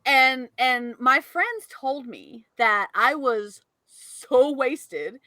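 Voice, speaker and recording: A woman co-hosting a podcast, telling a story in an animated way and laughing often. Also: sits high, very slow, dry recording. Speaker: podcast co-host. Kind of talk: casual storytelling chat.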